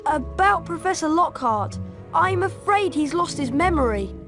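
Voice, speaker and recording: A woman voicing an earnest, cheeky young schoolboy wizard hero in a video game, animated in manner. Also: very high voice, measured pace. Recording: music behind the voice. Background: music.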